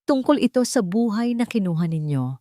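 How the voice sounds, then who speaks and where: A woman's voice: mid-range voice, very animated, brisk, mellow, dry recording, clean studio sound, voice pushed up, natural voice. A woman voicing a grieving mother in a story, cold, firm and defiant.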